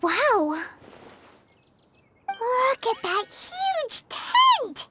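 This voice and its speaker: A woman voicing a tiny, excitable, childlike fairy companion in a video game, chirpy and blunt. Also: extremely high pitch, drawn-out words, exaggerated voice. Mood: excited, amazed. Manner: speaks of herself in third person, exclamatory.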